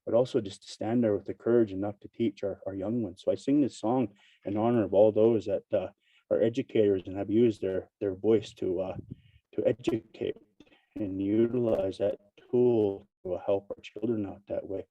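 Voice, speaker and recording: A man gives a spoken invocation to open a gathering. He is hoarse from a cold, so his voice comes out raspy and mellow, and he talks haltingly with many fillers. He sounds dry and set back from a distant mic. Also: fairly low pitch, steady tone, dry recording, lo-fi sound. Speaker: opening speaker.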